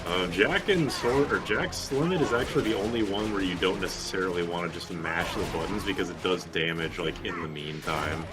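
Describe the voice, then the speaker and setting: Low-pitched man rambling over game music. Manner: rambling, halting, profane. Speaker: video game streamer. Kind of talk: casual gameplay commentary.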